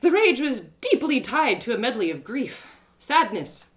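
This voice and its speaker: Casting: woman performer as naive young heroine of an audio drama, stranded and betrayed, plucky, melodramatic, very animated delivery. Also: fairly high pitch, a little slow, pitched above the performer's own voice. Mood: anguished rage. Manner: dramatic, exclaiming, stammering in distress. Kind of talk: first-person audio drama storytelling.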